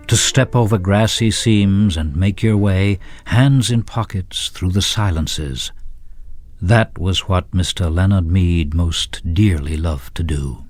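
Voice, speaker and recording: A man reading a literary story as dramatic radio narration, with deliberate, atmospheric phrasing. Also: deep voice, a little mellow. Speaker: story reader.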